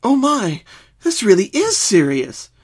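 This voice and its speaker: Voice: medium pitch, huge pitch swings, measured pace, breathy edge, voice pushed up, exaggerated voice. A performer voicing a caring, bookish young female unicorn pony who speaks earnestly and precisely in a cartoon fan reading. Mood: concerned. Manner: concerned, precise, articulate.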